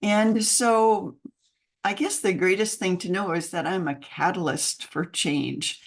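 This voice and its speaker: An older woman with an American accent talks about her work with warm enthusiasm, her voice sitting a little low. She laughs often and speaks expressively, as a guest on a podcast interview. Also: fairly even intonation, slow pace, gravelly. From United States. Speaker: guest, mentoring program founder.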